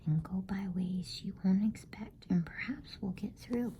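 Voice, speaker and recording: A woman's voice: medium pitch, measured pace, mellow, a little hiss or hum, voice pushed up, natural voice. A woman voicing a fussy, practical, motherly beaver housewife in a whispered bedtime storybook reading. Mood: reassuring. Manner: coolly practical, homely.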